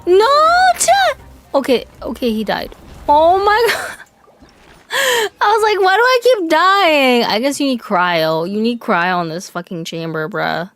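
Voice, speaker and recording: A young woman streaming a game, chatting casually with her chat, swearing and yelling in excitement now and then. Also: on the high side, measured pace. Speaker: VTuber streamer. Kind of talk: casual gaming livestream chat.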